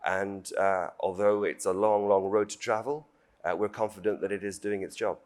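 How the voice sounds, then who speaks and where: A man with a British accent and a very low voice speaks formally on a panel. He is measured and diplomatic, leaning on key phrases as an official would. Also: fairly even intonation, a little mellow. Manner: measured, diplomatic, emphatic repetition.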